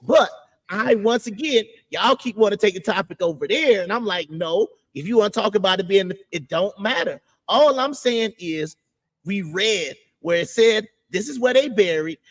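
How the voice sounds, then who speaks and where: A man's voice: sits high, lively intonation, normal speed. A man hosting a podcast discussion who explains things step by step, repeats himself and talks over interruptions. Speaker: podcast host.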